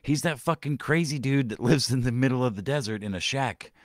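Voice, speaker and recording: A man's voice: medium pitch, a little fast, no echo, studio quality. A man hosting a podcast, rambling and swearing through casual commentary, with many restarts and trailing-off sentences. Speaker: podcast host.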